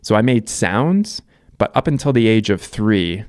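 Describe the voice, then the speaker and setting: A man in his thirties from Canada, talking through his life story to camera. His voice sits a bit low and sounds smooth and resonant, recorded close and dry. He speaks reflectively and loosely, with plenty of ums and restarts. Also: very animated, unhurried, a little mellow, dry recording. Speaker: vlogger. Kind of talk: casual life-story monologue.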